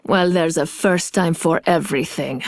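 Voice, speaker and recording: A woman's voice: deep voice, moderate pace, no echo. An actress playing a cool, vengeful woman thief with a cutting manner.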